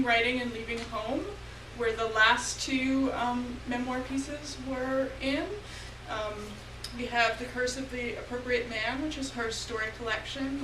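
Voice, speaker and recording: A woman's voice: fairly high pitch, background noise. A woman hosting a reading event, making friendly announcements with occasional ums, heard from far off in an echoey hall. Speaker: event host. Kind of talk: stage announcement. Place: theater stage.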